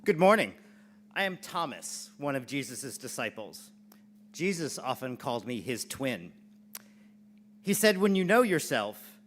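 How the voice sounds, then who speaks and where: A man's voice: mid-range voice, fairly bright tone. A man playing Thomas, an earnest, devout disciple of Jesus, speaking in a declamatory, preacherly way in a church dramatic reading. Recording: clear background noise and hum.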